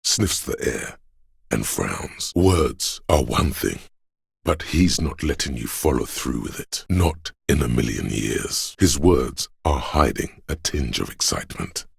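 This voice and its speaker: A man with a gravelly, husky voice reading scripted literary narration for a video game in a measured, solemn, deliberate way. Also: very deep voice, lively intonation, dry recording, studio quality.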